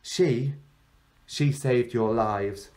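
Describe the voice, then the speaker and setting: A man's voice: medium pitch, drawn-out words, darkish tone. A man voicing a commanding, taunting warrior queen in a storybook reading.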